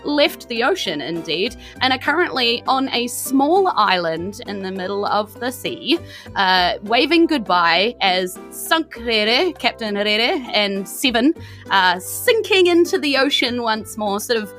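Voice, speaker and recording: Female game master, bright tone, casual and keen narration, soft music bed. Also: fairly high pitch, very animated, a little slow. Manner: enthusiastic, chuckles through talk.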